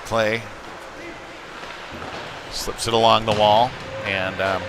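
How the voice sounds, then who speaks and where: A man doing live play-by-play hockey commentary in a halting delivery with frequent fillers. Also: fairly low pitch, little pitch movement. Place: indoor ice rink. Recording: noisy live rink stream.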